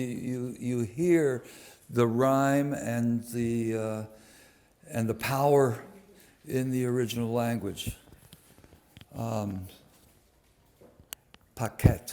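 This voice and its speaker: A man presenting and reading poems at a live event, with deliberate, dramatic phrasing and pauses. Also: medium pitch, darkish tone. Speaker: poetry reader and presenter. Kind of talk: live poetry reading with informal introductions. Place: public poetry reading at a museum.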